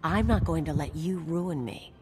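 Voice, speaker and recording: A woman's voice: sits low, moderate pace, darkish tone, slight background noise, close to an everyday voice. A woman playing a cynical, brash young mercenary in a video game, curt, dismissive and sardonic. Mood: cold, irritated. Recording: long reverb, music behind.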